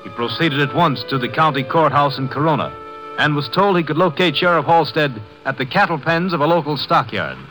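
A man's voice: fairly high pitch, fairly quick, pushed and bright, faint music behind, low-quality recording. A man narrating a 1950s radio drama in a formal scripted style, on an old radio recording.

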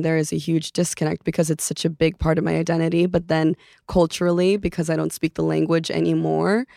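A young adult woman from Norway, a native Norwegian speaker, chatting as a podcast guest in a relaxed, meandering way with frequent fillers. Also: deep voice, vocal fry, clear, resonant tone, no room sound, studio quality. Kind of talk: casual chat.

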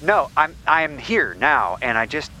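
An actor playing an anxious, caring friend in an audio drama, speaking casually and haltingly with worry. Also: male voice, fairly high pitch, sing-song, bright, forceful tone, slight background noise, exaggerated voice, low-quality recording. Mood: worried. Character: anxious caring male friend searching for someone.

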